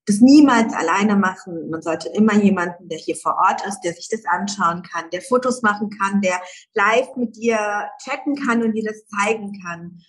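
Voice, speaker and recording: A woman's voice: mid-range voice, normal speed. A middle-aged woman, a guest entrepreneur, talking casually in an interview, with many fillers and easy laughs.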